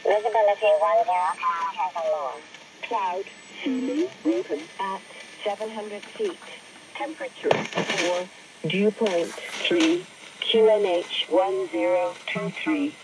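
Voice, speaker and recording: Woman, aviation weather announcer, slow segmented delivery, mid pitch, impersonal, noisy shortwave radio reception. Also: very animated. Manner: clipped, segmented, impersonal phrasing. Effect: shortwave single-sideband radio. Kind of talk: formulaic automated weather report readout. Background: radio static and noise.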